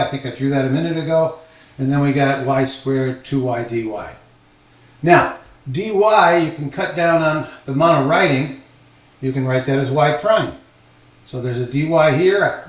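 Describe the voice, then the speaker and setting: In a roomy, echoing space, a male teacher speaks at some distance from the microphone. His pitch is average for a man. He gives an informal math lecture, explaining step by step as if thinking aloud. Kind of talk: informal calculus lecture at a board. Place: indoor room, at a board. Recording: echoey room, distant microphone.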